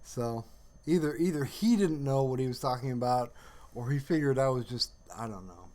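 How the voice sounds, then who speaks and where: A man hosting a casual livestream, chatting in a rambling, halting way and often trailing off mid-sentence. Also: mid-range voice, lively intonation, a little mellow. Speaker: livestream host.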